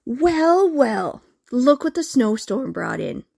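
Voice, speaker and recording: A woman's voice: high-pitched, huge pitch swings, slow, gravelly, a little mellow, slightly rough, no echo, clean studio sound, heavily acted. An actress in a comic radio play playing a smug, scheming young woman with a sardonic, haughty, taunting manner.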